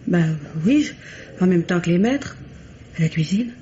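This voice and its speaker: A woman's voice: lowish voice, lively intonation, a little slow, warm tone. A woman playing a nervous, deferential household maid, hesitant and simply spoken, in old French radio mystery acting. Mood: guarded, deferential. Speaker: radio actor. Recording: muffled, noisy old radio recording.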